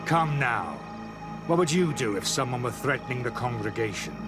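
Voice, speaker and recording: An actor voicing a fanatical, fearful, self-righteous priest in a video game, with a haughty, agitated and theatrical delivery. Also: male voice, medium pitch, big pitch swings, moderate pace, natural voice. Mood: persuasive, calculating. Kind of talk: dramatic video game acting. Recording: background music behind the voice.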